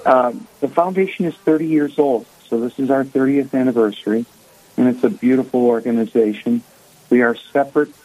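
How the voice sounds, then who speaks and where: A man's voice: mid-range voice, narrow, tinny audio. A man in his sixties from the Boston area with a Boston accent, a guest speaking earnestly in a radio interview.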